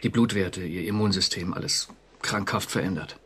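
An actor in a German radio drama playing an anxious, conscientious civil servant, confessional and agitated. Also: male voice, low-pitched, moderate pace, fairly bright tone, husky. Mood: haunted, confessing.

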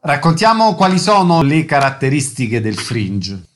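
A man's voice: a little high, big pitch swings, unhurried, a little hiss or hum. A man working as a radio interviewer, delivering prepared introductions and short questions.

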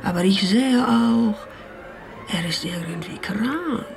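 Mysterious fortune teller, super slow n creaky, broken phrases, radio play, faint music behind. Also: female voice, medium pitch. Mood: ominous, mysterious. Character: mock fortune teller, a teasing female friend in disguise. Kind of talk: German radio drama acting.

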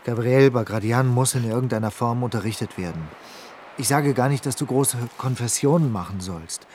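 An actor playing a persuasive lover who talks low, coaxing and insistent, in a noisy old radio play recording. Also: male voice, normal speed, a little mellow, natural voice. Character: smooth persuasive male lover, urging escape. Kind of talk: radio drama acting.

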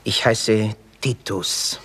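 An actor playing a witty, bitter young drifter in a Viennese stage comedy for radio, with an Austrian accent and pompous wordplay. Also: male voice, fairly low pitch, steady tone, very slow, sharp tone, slight background noise, heavily acted. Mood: mock-learned, bitter. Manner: florid, pompous wordplay with dry asides. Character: witty, bitter red-haired young drifter, grandiloquent and sardonic.

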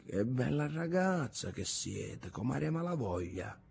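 A man voicing a smooth, insinuating police sergeant in an audiobook, speaking softly. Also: mid-range voice, very animated, slow, soft background music, heavily acted. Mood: flirtatious. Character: smooth-talking middle-aged police sergeant, flirtatious.

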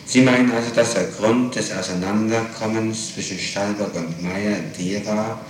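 Low-voiced male interrogator, very echoey tape. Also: steady tone, moderate pace. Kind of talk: formal questioning. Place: echoey room, recorded from a distance. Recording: noisy, echoey 1960s tape, distant microphone.

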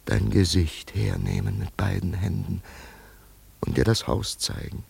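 An actor playing a wealthy, grieving older father in a German radio play, brooding and yearning in a halting monologue. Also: deep voice, fairly even intonation, vocal fry, darkish tone, husky, heavily acted. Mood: tender, mournful. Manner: slow, halting, brooding delivery with sudden swells.

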